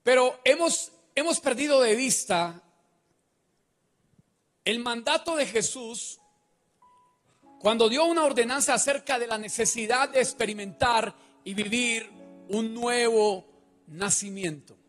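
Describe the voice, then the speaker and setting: A man's voice: very high voice, a little slow, long pauses, a bit bright, faint music behind. A man preaching a sermon on a large church stage into a handheld mic. He speaks emphatically and keeps putting questions to the congregation. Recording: reverberant church hall.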